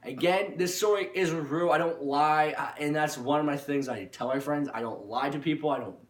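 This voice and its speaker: A man's voice: a little high, normal speed. A man telling a personal story informally to his viewers, rambling and insistent, often repeating himself and restarting phrases. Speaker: storytelling YouTuber. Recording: echoey room, distant microphone.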